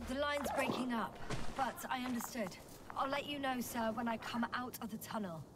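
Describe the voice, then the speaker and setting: Actress as higher-voiced weary woman space explorer. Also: normal speed, far from the mic, heavy background noise, lightly acted. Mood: focused, businesslike. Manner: matter-of-fact radio-style reporting. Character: weary, dutiful woman scientist exploring an alien planet. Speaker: scientist astronaut on a planetary mission. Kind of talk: video game voice acting, naturalistic.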